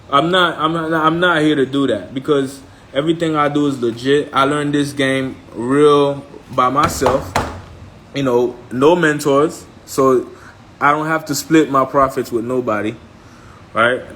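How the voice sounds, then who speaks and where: A man's voice: medium pitch, measured pace, a bit bright, a little hiss or hum, rough recording. A man from Haiti speaking as a trading mentor on a live stream, blunt and emphatic, repeating points and checking in with listeners. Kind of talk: motivational live-stream talk.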